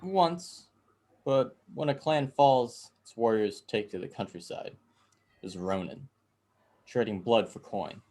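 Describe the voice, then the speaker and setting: A man's voice: medium pitch, slow, darkish tone. A man playing a jaded, composed Japanese lord in an amateur dramatic script reading. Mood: dry, composed. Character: jaded feudal Japanese lord fond of fight nights.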